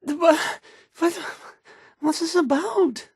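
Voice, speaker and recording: Stammering, nervous, awkward radio host. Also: male voice, extremely high pitch, slow, creaky, darkish tone, dry recording, studio quality, full character voice. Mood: distressed, pleading. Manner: stammering, hesitant, nervous, trailing off. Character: timid, awkward young male radio host, anxious and insecure.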